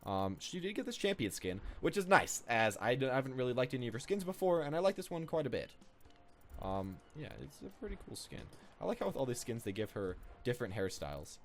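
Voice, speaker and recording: A man's voice: medium pitch, very animated, brisk, a little hiss or hum. A young man giving casual, rambling game commentary as a video creator. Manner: rambling, informal.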